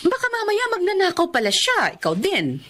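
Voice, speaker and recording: Haughty, suspicious woman with swooping pitch. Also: huge pitch swings, fairly quick, a bit bright, full character voice. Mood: suspicious, scornful. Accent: Filipino. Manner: nagging, insinuating. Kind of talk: radio drama acting.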